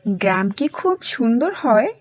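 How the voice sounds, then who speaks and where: A woman doing a young cartoon boy, insistent and pleading, whose voice sits a touch high. The sound is close and dry, with no room around it. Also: sing-song, moderate pace, dry recording. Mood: curious. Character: stubborn schoolboy son, eager for the village. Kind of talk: cartoon voice acting.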